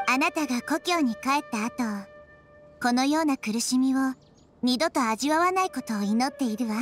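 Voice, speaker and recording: High girlish little nature goddess. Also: animated, moderate pace. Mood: compassionate, resolute. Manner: composed, refined feminine phrasing. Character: childlike little nature goddess, kind, wise and composed. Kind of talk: Japanese anime game voice acting. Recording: game music behind.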